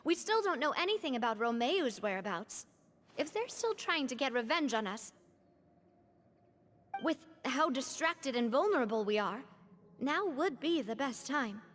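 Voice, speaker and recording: A woman's voice: sits high, fairly bright tone, slight background noise. An actress playing a poised, kindly young female boss in a video game, considerate and upbeat. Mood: serious.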